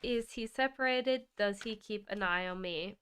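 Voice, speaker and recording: A woman's voice: mid-range voice, moderate pace, a bit bright, smooth. A woman from Australia, with an Australian accent mixed with British, chatting through a live-stream tarot reading, reading viewer comments aloud and laughing often. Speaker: tarot reader. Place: home in the UK.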